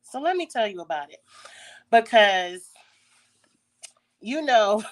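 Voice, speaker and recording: Congested raspy woman telling a story. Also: a little high, animated, slow, rough recording. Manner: chatty, frequent throat clearing. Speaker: YouTube host. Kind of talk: casual story time. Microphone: phone.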